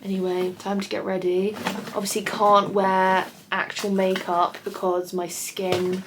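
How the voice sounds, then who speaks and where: A woman's voice: mid-range voice, monotone, measured pace, background noise. A young English woman in her twenties chatting casually to camera in her bedroom, rambling and swearing with a self-described whiny voice. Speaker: vlogger. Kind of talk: casual vlog chat. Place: bedroom at home.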